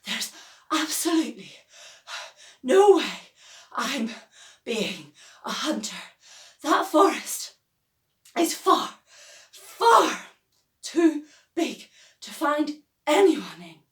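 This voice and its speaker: A woman's voice: high-pitched, sing-song, extremely slow, long pauses, pitched above the performer's own voice, exaggerated voice. A woman voicing a dramatic young girl in a children's story, complaining with exaggerated emphasis. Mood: exasperated. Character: Beaky, loud dramatic breathless young girl. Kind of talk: children's audiobook character voice. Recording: echoey room, distant from mic.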